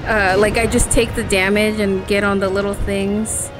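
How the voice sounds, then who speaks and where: A woman's voice: mid-range voice, little pitch movement, normal speed, low-quality recording. A woman chatting casually and teasingly while playing a video game. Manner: playful, teasing. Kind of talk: casual banter over gameplay. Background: video game music.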